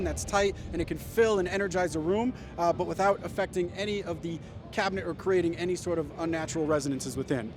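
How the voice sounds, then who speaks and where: A man's voice: high voice, noisy background. A man explaining products off the cuff as a company representative, in an eager, explanatory way. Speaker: company presenter. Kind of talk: unscripted product presentation. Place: trade show. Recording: music behind the voice.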